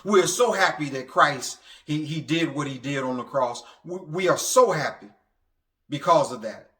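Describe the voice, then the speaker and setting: Male preacher, echoey room, fairly high forceful voice, halting delivery, repeated phrases, motivational sermon. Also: lively intonation. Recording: echoey, reverberant room sound.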